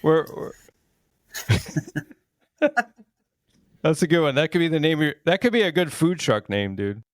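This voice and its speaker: A man's voice: fairly high pitch, sing-song, normal speed, dry recording, studio quality. An American man chatting casually as an interview guest, with an informal, slangy way of talking. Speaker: interview guest, record label owner. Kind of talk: casual chat.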